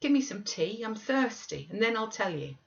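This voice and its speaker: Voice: mid-range voice, very animated, moderate pace, creaky, voice pushed up. A reader voicing a sickly, spoiled young man with a whiny, peevish manner in an audiobook. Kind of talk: audiobook reading. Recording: dull lo-fi recording.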